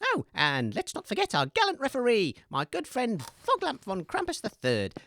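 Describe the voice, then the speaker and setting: A woman's voice: fairly high pitch, wildly swooping pitch, normal speed, fairly bright tone, dry recording, exaggerated voice. A woman playing a showy, eager debate host, announcing theatrically in a comedy audio show. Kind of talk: comedy audio sketch hosting.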